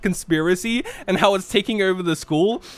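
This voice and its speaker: A man chatting casually on a group game stream, bantering and quick to argue back. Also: high-pitched, clean tone, no echo.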